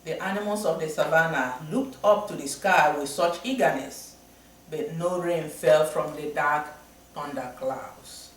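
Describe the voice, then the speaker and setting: A man reads a storybook folktale aloud in a slow, deliberate way. The recording is very echoey and picked up from a distance. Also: fairly high pitch. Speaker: storybook reader. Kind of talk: storybook narration. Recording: very echoey room, from far off.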